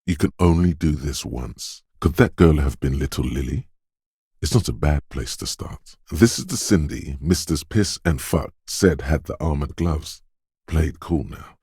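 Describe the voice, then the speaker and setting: An extremely deep voice plays a detective's quick-witted instinct in a narrative video game. It is deliberate and watchful, addressing the listener with dramatic weight. The sound is very dry, with no room around it. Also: male voice, mellow, breathy edge, no room sound, exaggerated voice. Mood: alert, wry. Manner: deliberate, alert, dramatic second-person narration. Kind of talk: scripted game narration, voice of an inner thought.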